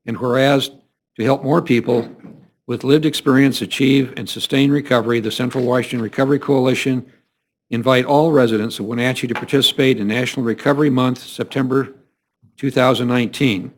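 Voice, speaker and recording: Мужчина, член городского совета, размеренно зачитывает официальное воззвание голосом средней высоты. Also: male voice, mid-range voice. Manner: steady, measured reading with small slips. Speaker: city councilmember. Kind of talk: formal reading of a proclamation.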